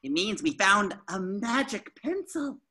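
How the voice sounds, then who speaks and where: A woman voicing an excitable, naive young male cartoon sea sponge in an eager, theatrical way at a table read. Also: medium pitch, unhurried, husky, heavily acted. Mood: playful.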